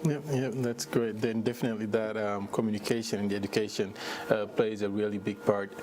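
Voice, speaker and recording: A man hosting a conversational community interview, putting questions in a halting way with repeated words. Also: lowish voice, little pitch movement. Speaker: show host interviewing guests. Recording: clearly noisy background.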